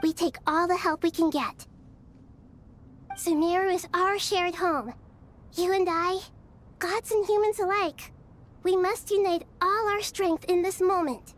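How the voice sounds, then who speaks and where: A woman voicing a gentle, wise, childlike deity in a video game, soft and youthful, speaking formally and earnestly. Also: very high voice, fairly even intonation, unhurried, long pauses. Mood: calm, earnest. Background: background music.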